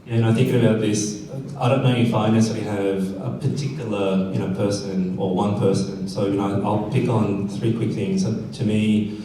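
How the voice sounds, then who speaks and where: A man reflecting on his life in conversational, measured speech as a panelist. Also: on the low side, level tone, warm tone. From South Korea, then Australia. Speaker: panelist at a community fireside chat. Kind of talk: reflective off-the-cuff personal storytelling. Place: event stage in an echoey indoor room. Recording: echoey room, distant mic, faint noise.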